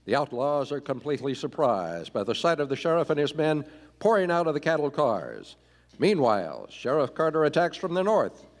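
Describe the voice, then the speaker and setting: A man narrates a Western radio play in dramatic, scripted style. His voice sits a bit high and carries through a reverberant hall. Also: sing-song, normal speed. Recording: echoey hall, live stage performance.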